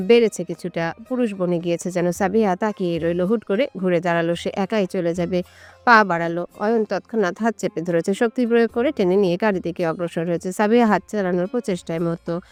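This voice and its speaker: A woman's voice: on the low side, clean tone, no room sound. A woman reading a scripted romantic story as its narrator.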